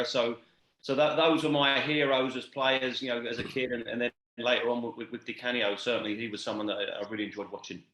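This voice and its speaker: A man around fifty with a London English accent gives chatty, reminiscing answers as an interview guest. His voice is bright and forward at a medium pitch, with clear room echo around it. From east London, England. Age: late 40s to 50s. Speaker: interview guest, football club executive. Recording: echoey room sound.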